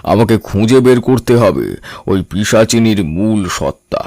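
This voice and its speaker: A man's voice: on the low side, expressive, a little slow, darkish tone, dry recording, studio quality. A man playing a grave tantric mystic who recounts his horror tale in a solemn, suspenseful, dramatic way. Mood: resolute. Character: grave male tantric sadhak recounting his battle with a demon. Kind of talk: dramatic scripted horror narration. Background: eerie background music.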